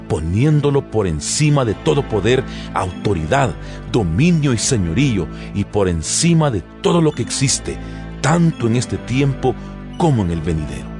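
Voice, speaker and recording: Low voiced guy reading the bible like a dramatic audiobook, solemn and really expressive, music behind. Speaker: Bible narrator. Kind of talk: dramatized scripture audiobook narration. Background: background music.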